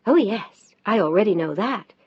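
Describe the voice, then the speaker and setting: A woman voicing a fierce, upset wife, loud, sharp and near tears. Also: mid-range voice, animated, moderate pace, husky, dry recording, muffled recording. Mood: angry.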